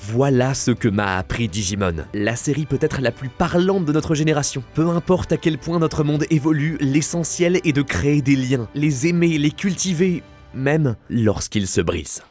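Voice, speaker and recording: A man's voice: fairly high pitch, moderate pace, a little mellow. A man in his twenties narrating a scripted video essay with earnest, dramatic delivery. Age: 20s. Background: faint music.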